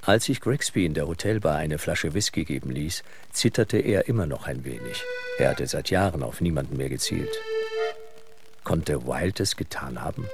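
A man's voice: very deep voice, expressive, normal speed, creaky. A man reading scripted narration as the storyteller of a radio drama. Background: accordion music.

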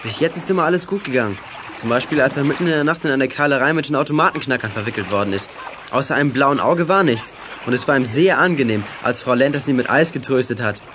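A male actor playing a level-headed boy detective in a children's radio play, speaking in crisp radio procedure. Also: mid-range voice, quick pace, noisy background, natural voice. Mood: calm, reassuring.

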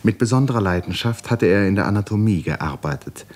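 Narrator, male: deep and husky, 1950s literary radio drama, deliberate, dramatic phrasing with hushed falls. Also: animated. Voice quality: breathy, husky.

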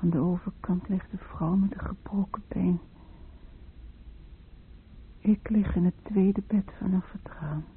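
An actress playing a confused, muddled female patient in a radio play, muttering in halting, often whispery fragments. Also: on the low side, fairly even intonation, slightly rough. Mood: muddled, drifting. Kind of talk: radio drama interior monologue. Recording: dull lo-fi radio drama, faint noise.

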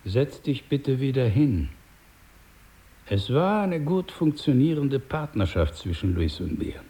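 An actor playing a paternal but menacing older crime boss in a radio drama, speaking in a measured, condescending, smooth-talking way. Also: male voice, medium pitch, very animated, dark tone, a little hiss or hum. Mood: patronising, measured.

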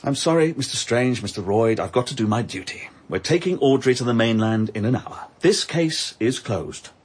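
An actor playing a formal, duty-bound police inspector in a radio drama. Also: male voice, medium pitch, animated, normal speed, husky. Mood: stern. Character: dutiful police inspector, stern and official. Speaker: Inspector Leach. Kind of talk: BBC radio drama acting.

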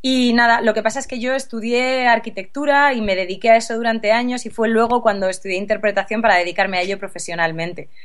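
Woman from spain, 30s, chatty guest, laughs a lot, voice a bit up high and a bit distant. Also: fast talker, hardly any pauses. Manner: relaxed, laughs often, restarts sentences. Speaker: interview guest, actress. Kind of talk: casual interview answers.

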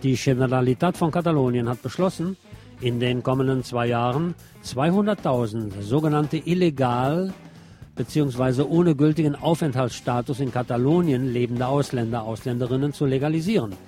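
A man's voice: medium pitch, normal speed, dark tone. A man presenting scripted radio news with pointed commentary, recorded in a studio with music behind him.

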